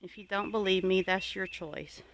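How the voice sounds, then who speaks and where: A homesteading channel host gives viewers advice in an earnest, exhorting and deliberate way. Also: medium pitch, fairly bright tone, slight background noise.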